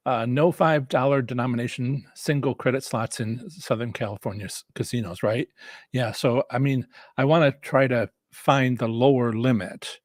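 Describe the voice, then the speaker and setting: A man with a middle-pitched, husky voice that sounds a little worn from overuse. He teaches casually as a live-stream host, halting and circling back over his phrases. The recording is dry and close, with little room sound. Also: steady tone, dry recording. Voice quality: slightly rough, husky voice. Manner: halting, rambling, repeats and restarts phrases. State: voice worn from a lot of talking. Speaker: host and instructor of a live stream on slots strategy.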